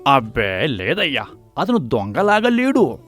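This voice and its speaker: A man's voice: medium pitch, huge pitch swings, unhurried, a bit bright, faint music behind. A man playing a humble, observant village wax merchant in a cartoon story, deferential and chatty. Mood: wary, confiding. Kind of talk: animated cartoon story dialogue.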